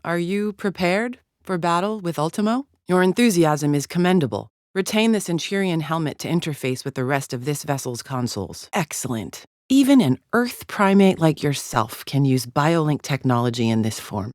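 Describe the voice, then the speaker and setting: A woman's voice: low voice, animated, moderate pace, few pauses, a little mellow, no room sound, clean studio sound. An actress playing a haughty alien gladiator in a video game, formal, clipped and menacing as she guides the player with open contempt. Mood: commanding, impatient. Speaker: mission guide character.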